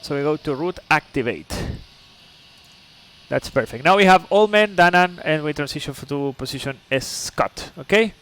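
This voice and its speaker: A man's voice: a little high, animated, normal speed, fairly bright tone, a little hiss or hum. A man from Chile, speaking English, streams a flight sim live. He explains each step patiently, checks in with "okay?", and chats with viewers. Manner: chatty asides to viewers.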